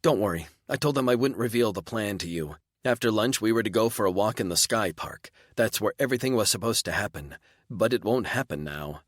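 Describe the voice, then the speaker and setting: A man voicing a gentle young woman who is dying, speaking softly and pleadingly. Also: on the low side, expressive, brisk, no echo, clean studio sound. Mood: tender.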